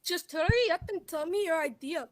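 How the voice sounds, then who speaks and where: A woman's voice: extremely high pitch, expressive, normal speed. A woman playing an impatient, grumpy pharaoh in a radio play, curt and imperious. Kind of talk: student radio theatre acting.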